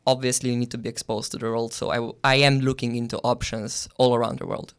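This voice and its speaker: A young man from Slovenia, a guest on an interview show, answering in a thoughtful way with many pauses and restarts. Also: mid-range voice, flat delivery, smooth. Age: young adult. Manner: thoughtful, with frequent ums and restarts. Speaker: guest, exchange student.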